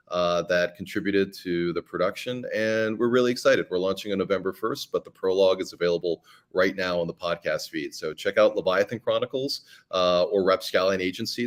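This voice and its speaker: A man guest on a podcast, friendly and eager, talking about his audio drama production. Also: deep voice. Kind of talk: casual interview.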